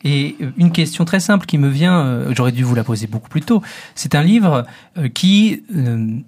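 A man hosting an interview, polite and gently teasing, asking questions with halting fillers. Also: fairly high pitch, expressive. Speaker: interviewer, host.

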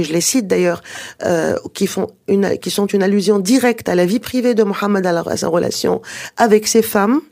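A native Arabic speaker of medium pitch giving explanations in an interview, hesitant and digressive, with emphatic points. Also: vocal fry, darkish tone. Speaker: interviewed author and researcher on early Islamic texts.

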